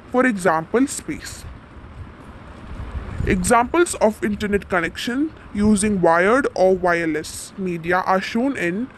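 Guy with a high voice reading a school textbook out loud, slight background noise. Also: poor audio. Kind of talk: read-aloud lesson.

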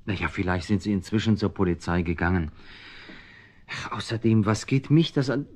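A man's voice: lowish voice, expressive, moderate pace, a little mellow. A man playing a busy, testy writer who grumbles reluctantly, in a children's radio play. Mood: grudging, concerned. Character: grumbling, busy male writer, the cat's exasperated owner. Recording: dull old vinyl record transfer.

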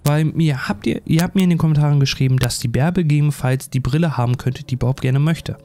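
Mellow-voiced, chatty male let's player. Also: on the high side, fast talker. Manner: chatty, rambling, self-deprecating. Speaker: gaming YouTuber. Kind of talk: casual let's play commentary.

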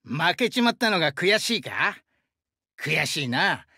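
A male actor voicing a burly, friendly young sumo-wrestler god in an anime game, with rough, hearty speech and a rural Shinshu Japanese dialect. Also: on the high side, sing-song, a little slow, sharp tone, dry recording, clean studio sound, exaggerated voice. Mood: gruff, reflective. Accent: rural central Japanese dialect (Shinshu). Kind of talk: anime game character voice lines.

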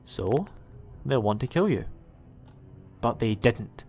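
A man's voice: mid-range voice, big pitch swings, slow, darkish tone, exaggerated voice. A man playing an eccentric, chatty time-travelling alien in an audio drama, with a rambling, quirky way of talking. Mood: earnest, explaining. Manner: rambling, self-correcting, quirky. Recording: music under the voice.

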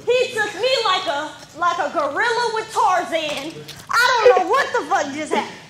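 A 20-year-old woman chatting in casual slang with playful, expressive reactions. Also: very high-pitched, moderate pace, crowd noise. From Houston, Texas. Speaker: contestant choosing among men on a dating game show.

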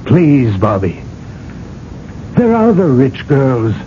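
Slow, earnest young priest. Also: male voice, mid-range voice, big pitch swings, mellow. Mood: grave, imploring. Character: earnest young male priest, pleading and morally grave. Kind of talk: 1980s radio drama acting. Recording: dull, noisy old radio recording.

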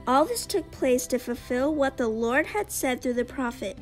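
A woman's voice: high-pitched, lively intonation, normal speed, soft background music. A woman reading scripted narration for a children's Bible puppet show.

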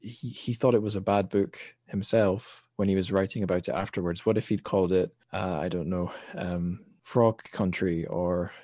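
A man's voice: low-pitched, fairly even intonation, normal speed, hardly any pauses, warm tone, no echo. A man hosting a podcast, discussing books casually and thinking aloud in a hesitant, self-correcting way. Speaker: podcast host.

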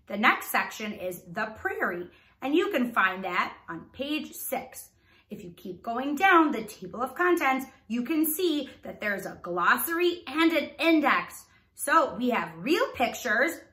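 Female primary teacher, read-aloud for little kids; bright, very expressive delivery, roomy echo. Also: a little high. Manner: animated, enunciated, prompting listeners with questions. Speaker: teacher reading a children's book aloud. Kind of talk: read-aloud lesson for young children.

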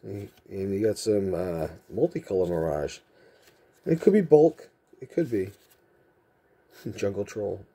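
Husky dark-voiced man with softened Massachusetts accent. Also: on the low side, expressive, slow, long pauses. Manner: rambling, chatty, jokey asides. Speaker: card collecting channel host. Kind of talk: casual chat while sorting cards. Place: indoor room with card shelves.

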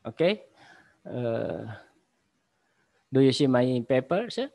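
A man in his forties with an Indonesian accent, giving a conference paper over a video call in halting English full of pauses and fillers. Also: mid-range voice, very animated, creaky, dark tone, no echo. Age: 40s. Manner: halting, many fillers and restarts. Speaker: university lecturer presenting a paper. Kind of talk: conference presentation. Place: home office with bookshelves. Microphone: laptop webcam on video call.

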